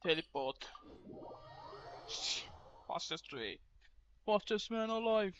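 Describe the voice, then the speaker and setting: Woman game streamer, very slow delivery, casual joking asides, faint noise behind. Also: medium pitch, animated, muffled recording.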